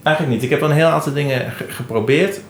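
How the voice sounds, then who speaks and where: A man with a Netherlands Dutch accent in casual interview chat, halting and correcting himself, in an echoey room. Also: on the high side. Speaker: interviewed band keyboardist. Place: indoors in a studio room. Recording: echoey room with faint noise.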